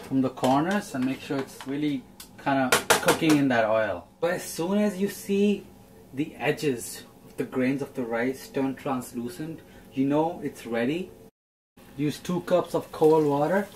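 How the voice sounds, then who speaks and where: A man's voice: mid-range voice, unhurried, darkish tone, a little hiss or hum. Young man explaining a recipe. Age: young adult. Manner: friendly, upbeat instructional tone. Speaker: cooking channel host. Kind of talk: step-by-step cooking instructions. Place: small home kitchen, indoors.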